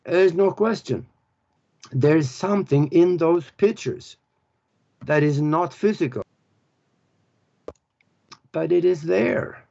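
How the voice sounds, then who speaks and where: A man speaks in a fairly high register at a very slow, unhurried pace. He explains spiritual ideas earnestly and often pauses to search for words. The recording sounds dull and lo-fi. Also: creaky, dark tone. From Norway. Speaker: author and spiritual teacher.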